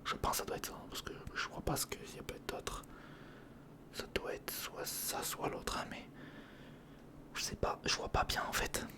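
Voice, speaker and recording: An ASMR host whispering breathily and close to the mic, thinking aloud to the listener through a step-by-step build. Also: normal speed. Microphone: close recorder or studio condenser microphone.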